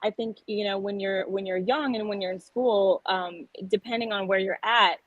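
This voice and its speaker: A young woman from South Texas chats earnestly as an interview guest. She restarts her phrases often and keeps talking quickly. The sound is dry and close, with no room echo. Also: mid-range voice, fairly even intonation, dull audio. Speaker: singer-songwriter guest. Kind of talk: casual interview conversation.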